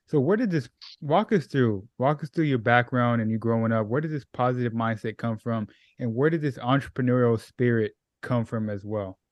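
A man's voice: medium pitch, normal speed, hardly any pauses, dry recording. A male podcast host asking a guest about his background, supportive and affirming. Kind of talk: interviewing, brief encouraging replies.

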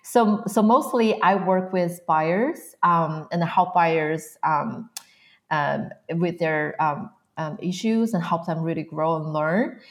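Woman in her 30s, kinda low voice, lots of ums, casual interview guest. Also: slow. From northern China. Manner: frequent "um" fillers, soft laughs.

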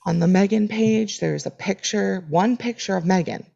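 A woman's voice: mid-range voice, moderate pace, gravelly, warm tone, lo-fi sound. A woman co-hosting a podcast in casual chat, with dry, self-deprecating humor and frequent restarts. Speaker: podcast co-host.

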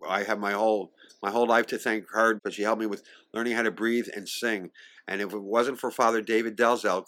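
Older guy, kinda low voice, slight stutter, chatty interview guest who does little voices for people in his stories. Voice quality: occasional stutter, with slips and restarts. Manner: animated storyteller who acts out quoted voices. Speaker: singer and actor, interview guest. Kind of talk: reminiscing in a conversational interview.

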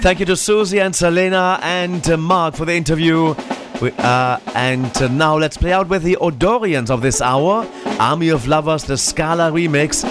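A man hosting a radio show, thanking guests and introducing the next song with a few fillers. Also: on the high side. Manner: brisk announcing with fillers. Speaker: radio host. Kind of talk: radio link announcement. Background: music.

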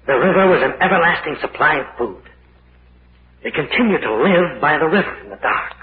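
An actor playing a grave, methodical professor in an old-time radio horror drama, explaining things with deliberate authority. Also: male voice, fairly high pitch, expressive, lightly acted. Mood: grave, explanatory. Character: grave, methodical male professor leading a cave expedition. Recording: muffled, echoey old radio recording, faint noise.

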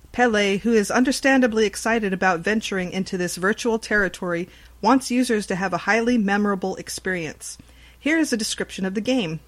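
A woman reading a scripted report as a podcast host. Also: mid-range voice. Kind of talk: scripted news report narration.

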